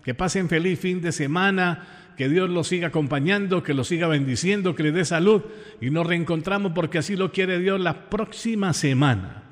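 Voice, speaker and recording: A man's voice: high voice, normal speed, long reverb tail. A man from Colombia with a Colombian accent, talking informally as a radio host to listeners in an affectionate, effusive way, repeating phrases for emphasis. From Pereira, Colombia.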